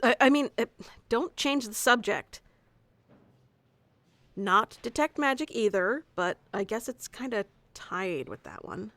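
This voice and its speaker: Woman performing a haughty young female wizard teacher, tsundere, bossy, teasing, easily flustered, unhurried delivery, dry close sound, ASMR roleplay. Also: on the high side, lively intonation, fairly bright tone, no room sound. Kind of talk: ASMR roleplay acting addressed to the listener.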